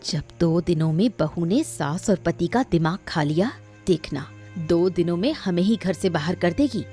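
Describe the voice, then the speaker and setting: Woman acting a low-voiced, spiteful mother-in-law. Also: normal speed, warm tone, soft background music, natural voice. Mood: suspicious. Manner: scornful, threatening. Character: scheming, spiteful mother-in-law of a rich household. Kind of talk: Hindi cartoon moral-story dubbing.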